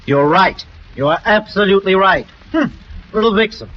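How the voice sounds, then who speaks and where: A man's voice: high voice, animated, normal speed. A man playing a vain but gracious film star in a 1940s radio drama, with a theatrical, mannered delivery. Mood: mock indignant. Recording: muffled noisy 1940s radio recording.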